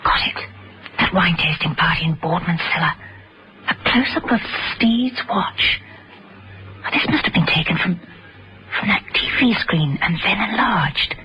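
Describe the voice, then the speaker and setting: An actress playing a cool, quick-witted female investigator in a radio spy thriller, composed and wry. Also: on the low side, expressive, sharp tone, poor audio. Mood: puzzled, musing. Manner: composed, wry, thinking aloud.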